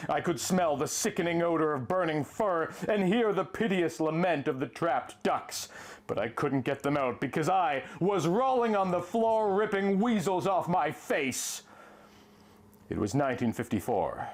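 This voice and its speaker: A man's voice: a little high, animated, moderate pace. A man reading a pulp adventure story in a theatrical, emphatic first-person style, drawing out the ends of phrases.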